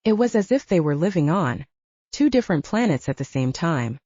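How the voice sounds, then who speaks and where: A woman's voice: fairly low pitch, very animated, brisk, dark tone, no echo. A woman narrating an audiobook, reading scripted fiction. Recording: dull, lo-fi, narrow sound.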